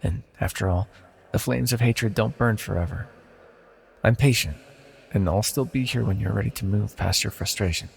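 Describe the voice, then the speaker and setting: Man acting a gravelly, condescending immortal villain sorcerer. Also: on the low side, normal speed, exaggerated voice. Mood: tender, possessive. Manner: soft-spoken, condescending, theatrically formal. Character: immortal banished villain sorcerer, male, condescending, possessively tender. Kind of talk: ASMR fantasy audio roleplay monologue. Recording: long hall-like reverb.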